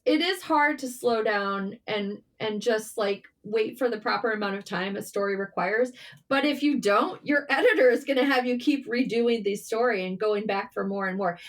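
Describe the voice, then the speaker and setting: A woman talking casually and candidly as an interview guest, telling stories in an informal, mildly sweary way. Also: on the high side. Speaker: interview guest, writer and journalist. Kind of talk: casual interview conversation, storytelling.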